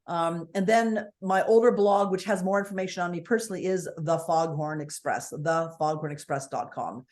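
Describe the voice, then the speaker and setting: A woman with a booming voice, a guest speaking forthrightly and with energy in an interview over a video call. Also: moderate pace. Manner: forthright, energetic, piles on points.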